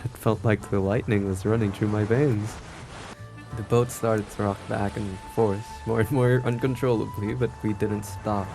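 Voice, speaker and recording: Low-voiced man reading a visual novel aloud. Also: steady tone, normal speed, vocal fry, music in the background. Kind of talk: expressive read-aloud narration with live reactions.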